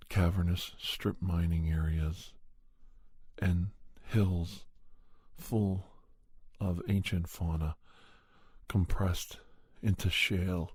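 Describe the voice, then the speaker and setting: A man plays a calm doctor telling an ASMR monster tale in a low, mellow voice. He speaks very slowly and deliberately, with halting, measured phrases. The sound is dry and intimate. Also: slightly rough, dry recording, heavily acted. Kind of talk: slow ASMR horror storytelling.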